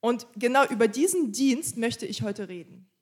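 A woman interpreting a pastor's sermon live in church, quick and casual, with small slips and restarts. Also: on the high side, animated.

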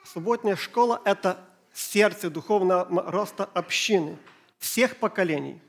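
A middle-aged man, Ukrainian his first language, preaching a church sermon in short phrases with pauses for an interpreter. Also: high-pitched, creaky. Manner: slow, halting German, pausing phrase by phrase. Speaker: pastor.

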